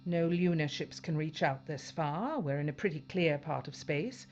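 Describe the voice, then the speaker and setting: A woman playing a weary, determined spaceship pilot and commander in a science fiction audio drama, brisk and businesslike with a wry edge. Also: deep voice, darkish tone, highs cut off, a little hiss or hum, close to an everyday voice. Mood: relieved.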